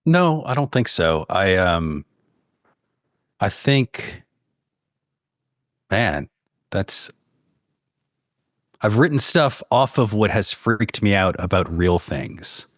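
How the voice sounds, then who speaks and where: A man from New Orleans with a very deep-pitched voice, speaking casually as a podcast guest. He is hesitant and reflective, working out thoughts aloud. The recording is dry, free of any room sound. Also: big pitch swings, slow pace, pauses often, a bit bright, no room sound. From New Orleans, United States. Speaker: podcast guest, horror fiction writer. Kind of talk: casual chat.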